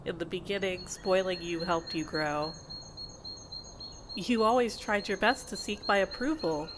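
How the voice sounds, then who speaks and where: A woman playing a possessive, condescending fantasy healer in an ASMR audio roleplay, with a measured, intimate, teasing and reproachful delivery. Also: on the low side, noisy background, lightly acted. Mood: condescending, disappointed. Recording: insect and bird ambience behind voice. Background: outdoor nature ambience with crickets and birds.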